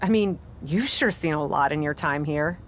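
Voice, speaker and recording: A woman playing a cocky wrestling star drawn to dark power in a video game, with a brash delivery. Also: deep voice, moderate pace, a little hiss or hum, lightly acted. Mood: curious. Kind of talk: cinematic game dialogue.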